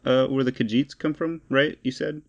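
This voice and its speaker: A man's voice: mid-range voice, steady tone, moderate pace, vocal fry, lo-fi sound. A man co-hosting a podcast, chatting casually and explaining gaming news in an informal, bantering way. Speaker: podcast co-host.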